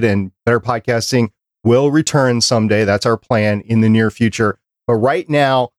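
A man hosting an interview podcast, speaking in a measured, deliberate way as he addresses listeners and guests. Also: mid-range voice, no room sound, studio quality. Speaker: podcast host.

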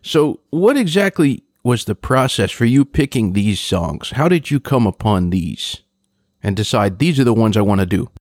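A man's voice: lowish voice, sing-song, moderate pace, darkish tone, dry recording, clean studio sound. A man hosting an interview, putting conversational questions to his guest. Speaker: interviewer, show host.

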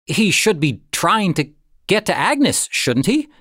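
A man narrating a story in a deliberate, dramatic way, with expressive phrasing and suspenseful pauses. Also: high-pitched, no echo, clean studio sound. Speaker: audiobook narrator.

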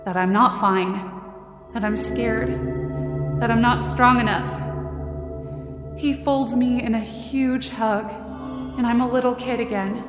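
A woman performing a frightened, determined young woman who narrates her own survival story in intense, emotive, deliberate short phrases. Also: fairly high pitch, fairly even intonation, music in the background. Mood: subdued, wistful. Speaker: writer and performer of a fiction podcast. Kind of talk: dramatic first-person scripted narration.

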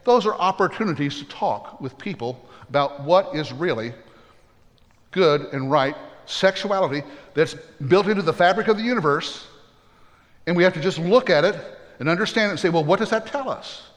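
A pastor preaching in a high voice, earnest and plainspoken, with folksy humorous stories. His voice rings with a long reverb, as if in a church sanctuary. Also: expressive, moderate pace. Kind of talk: sermon.

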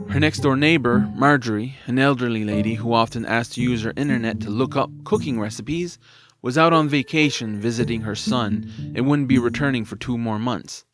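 A man narrating a scripted story in an expressive, dramatised storytelling manner. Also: medium pitch.

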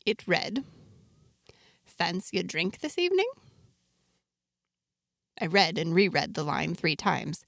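A woman playing an earnest, wonder-struck outsider in a space fantasy, narrating her diary expressively with deliberate, dramatic pauses. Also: on the low side, sing-song, gravelly, a bit bright, no room sound, studio quality, heavily acted. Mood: anxious curiosity. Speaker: narrator of a fiction podcast, in character. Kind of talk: scripted first-person storytelling, audio diary.

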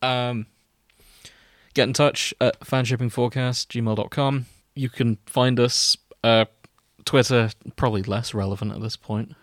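A man's voice: medium pitch, steady tone, measured pace, a bit bright, no room sound, clean studio sound. A man, chatting casually as the host of a podcast.

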